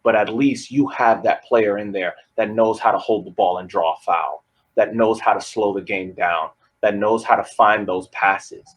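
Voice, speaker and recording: Husky low-voiced man on a podcast. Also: low-quality recording. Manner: measured, argues in full sentences. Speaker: podcast co-host. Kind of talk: casual soccer analysis.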